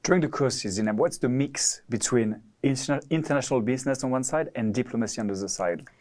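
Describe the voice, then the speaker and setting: A man hosting an interview, prompting guests in a brisk, friendly way. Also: medium pitch, darkish tone.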